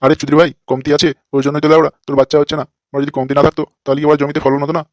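A man's voice: a little high, flat delivery, rapid-fire, no echo, natural voice. A man voicing a crude, lecherous fake holy man in a comic cartoon, with a brash, scolding manner. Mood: mocking.

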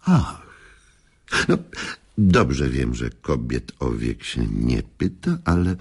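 A suave older gentleman scheming in a radio drama. His voice is very deep and gravelly, swinging widely as he persuades with theatrical, flowery courtesy. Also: sing-song, slow, exaggerated voice. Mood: persuasive, sly. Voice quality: gravelly, husky. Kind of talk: Polish radio drama acting.

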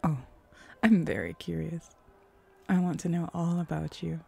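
A woman voicing a flirty, eager, playful female hellhound in a roleplay ASMR audio drama, teasing and sultry with breathy whispers. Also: on the low side, little pitch movement, unhurried, a little mellow, exaggerated voice. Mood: curious, flirty.

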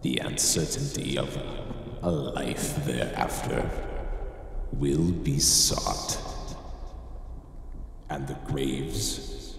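Gravelly ghoulish horror host. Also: male voice, very slow, pauses often, heavily acted. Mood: ominous. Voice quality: gravelly, very deep. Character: ghoulish horror-show host, grim and theatrical. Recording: cavernous echo with faint noise.

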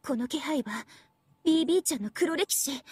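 A young female AI devil girl for an anime game. The voice is very high, sweet and girlish, with a dry studio sound. She is smug and playful, talking down to people with mock politeness. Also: expressive, fairly quick, a little mellow, no room sound. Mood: teasing. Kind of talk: Japanese anime game voice acting.

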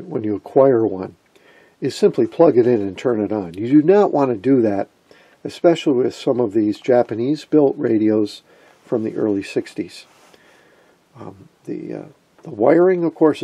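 A man explains technical repair work in a casual, halting way. His voice is mellow and husky with a gravelly creak. He sounds like a hands-on host talking through the job in plain, colloquial terms. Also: mid-range voice, no room sound. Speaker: host of a vintage radio repair video.

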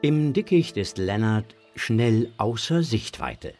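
A man narrating a children's adventure story from a script in a suspenseful, measured way. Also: mid-range voice, lively intonation, darkish tone, soft background music.

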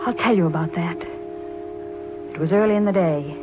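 An actress playing a proud, wounded mother, with solemn, halting delivery in 1940s radio drama style. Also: on the low side, phone-quality sound, music in the background, lightly acted. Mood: solemn, bitter. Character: wounded, proud wartime mother telling her story to her son.

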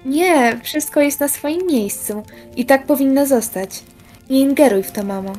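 Woman playing a soft, high-voiced patient daughter. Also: unhurried. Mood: earnest reproof. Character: patient, defensive grown daughter, married woman. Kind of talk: amateur school radio play. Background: music.

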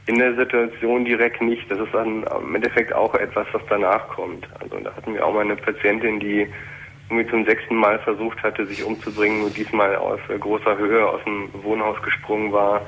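A man's voice: fairly low pitch, little pitch movement, a little fast, a bit bright. A man calling in to a radio show, talking thoughtfully and hesitantly about his work as an emergency doctor, with many fillers. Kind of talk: phone-in conversation. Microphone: telephone line. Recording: dull lo-fi phone line with faint noise.

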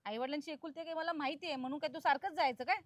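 A woman's voice: sits high, rapid-fire, no echo, natural voice. A woman playing a spiteful, domineering middle-aged mother-in-law in a family drama, with colloquial Maharashtrian Marathi and a scolding, repetitive way of talking. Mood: angry scolding. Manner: scolding, repeats phrases insistently. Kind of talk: amateur family drama acting.

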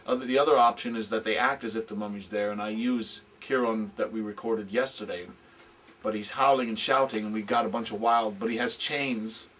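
A man's voice: fairly low pitch, steady tone, a little fast, a bit bright, breathy edge, distant mic. An Irish man directing a radio play, chatting casually and joking dryly in a living room. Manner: dry, wry, joking. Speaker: director and producer. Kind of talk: casual chat on set. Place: living room of a large house.

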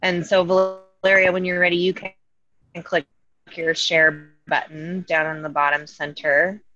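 A woman's voice: deep voice, little pitch movement, a little slow, bright, forceful tone, muffled recording, soft background music. A woman moderating an online meeting, upbeat and directive.

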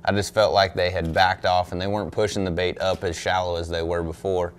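A young man, a pro angler, answering questions at a press conference in a relaxed, thoughtful way, full of "you know" and "um". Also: deep voice, a little fast, clear, resonant tone. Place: indoor press room in front of a backdrop. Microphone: desk microphone on a stand.

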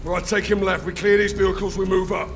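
Gravelly, commanding British army captain. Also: male voice, high-pitched, little pitch movement, quick pace. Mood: stern. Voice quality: gruff, gravelly. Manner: curt, commanding. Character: hard, commanding British special forces captain. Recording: very loud music and vehicle noise behind.

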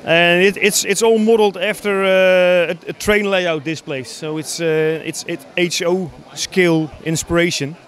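A man in his thirties from the Netherlands with a Dutch accent, explaining his hobby builds in a casual, halting interview. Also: high voice, fairly even intonation, a bit bright. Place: large busy exhibition hall, indoors. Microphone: handheld interview microphone.

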